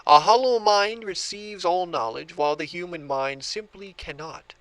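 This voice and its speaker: An actor playing an obsessive book collector who grows entranced, in a horror audio drama. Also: male voice, sits high, moderate pace, fairly bright tone, low-quality recording. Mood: intrigued, obsessive. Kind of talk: audio drama monologue and dialogue.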